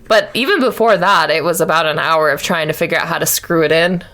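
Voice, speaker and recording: A woman's voice: low voice, fast, a bit bright. A woman co-hosting a podcast, chatting informally in a jokey, self-deprecating way. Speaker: podcast co-host. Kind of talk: casual chat. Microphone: shared USB podcast microphone.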